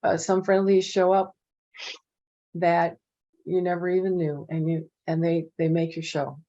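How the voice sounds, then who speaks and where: Woman, middle-aged, lowish voice, chatty storyteller, video call, dull lo-fi sound. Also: unhurried. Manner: chatty, animated, many "you know" fillers. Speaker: panel presenter. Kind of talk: casual anecdotal storytelling. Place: home office with bookshelves.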